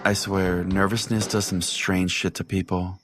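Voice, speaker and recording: A man's voice: low-pitched, level tone, unhurried, over music. A man playing a crude, self-righteous small-town pastor who hosts a call-in radio show, pompous and foul-mouthed. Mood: earnest storytelling. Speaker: podcast host and storyteller. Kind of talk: comedic storytelling in radio call-in format.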